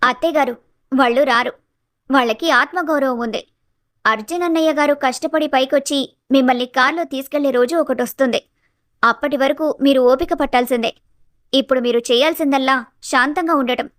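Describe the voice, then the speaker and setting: A woman voices a composed young daughter-in-law from an animated family tale. Her pitch is high, and she stands her ground with polite, reproachful firmness. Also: fairly quick. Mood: firm. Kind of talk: animated family story acting.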